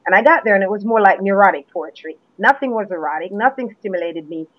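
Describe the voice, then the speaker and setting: A woman's voice: medium pitch, fast talker, no echo. Woman on a tinny phone line. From Jamaica. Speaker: guest poet. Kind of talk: casual interview chat.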